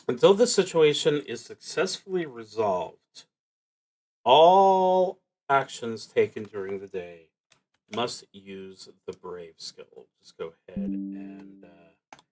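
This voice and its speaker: Male solo-RPG streamer, mid-pitched and unhurried, casual play-along narration with halting, self-directed muttering. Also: rough recording. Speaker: solo roleplaying game streamer.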